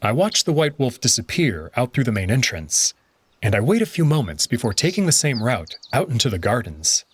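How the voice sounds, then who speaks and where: A man's voice: mid-range voice, sing-song, normal speed, slight background noise. A man giving reflective first-person narration of a visual novel, voicing its lead character.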